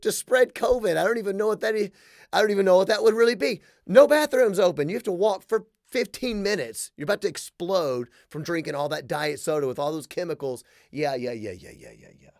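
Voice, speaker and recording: A man in his thirties hosting a solo podcast, ranting in an animated, sarcastic way with quick jokes. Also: sits high, gravelly, no echo, clean studio sound. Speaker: podcast host. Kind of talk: casual solo podcast rant. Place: small room with wood-plank wall.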